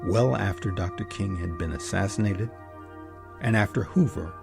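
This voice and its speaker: A man narrating a scripted history documentary as host, in a measured, emphatic manner. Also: sits low, creaky, music in the background.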